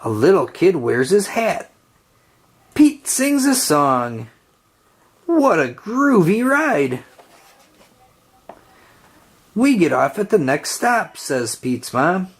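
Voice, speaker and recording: A woman reading a children's picture book aloud in a gentle storytelling way. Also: husky. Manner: slow, gentle storytelling with lively pitch. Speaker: storybook reader.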